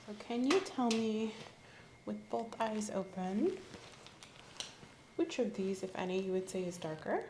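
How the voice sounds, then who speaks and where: A woman speaking softly and slowly as a clinician in an ASMR roleplay exam, often dropping to a whisper. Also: medium pitch, expressive, reverberant, background noise. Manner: slow, gentle, instructive, often whispering. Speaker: ASMR creator playing an examining clinician. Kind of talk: soft-spoken roleplay physical exam.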